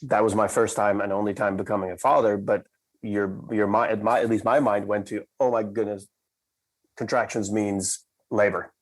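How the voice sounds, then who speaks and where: A man from the Netherlands, a company cofounder, telling a story in a measured, reflective way and slowing down for emphasis. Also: lowish voice, steady tone. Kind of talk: conversational storytelling.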